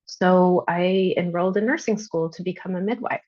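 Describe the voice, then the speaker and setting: A woman, a nurse midwife, telling her own story casually and haltingly on an online video call. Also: on the low side, a little mellow, dry recording, lo-fi sound.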